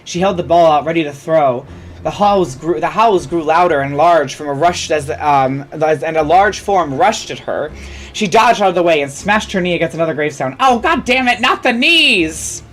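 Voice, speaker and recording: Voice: mid-range voice, moderate pace. A host reading a comedic story aloud theatrically, with asides and self-corrections. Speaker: fan fiction reader and host. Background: music.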